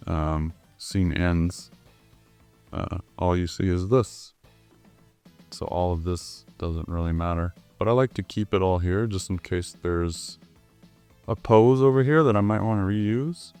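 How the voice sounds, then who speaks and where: A hoarse man, still getting over the flu, talks slowly in a very low, smooth voice. He walks through his animation work in a relaxed way, with plenty of ums. Faint music plays behind him. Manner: relaxed, with many ums and uhs. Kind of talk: screen-recorded tutorial walkthrough.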